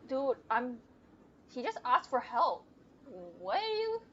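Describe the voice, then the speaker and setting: A woman's voice: high voice, very animated, takes it slowly, a little hiss or hum. A woman streaming a video game, chatting casually and playfully in slang as she talks back to the characters. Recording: muffled lo-fi mic, game music behind. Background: game music.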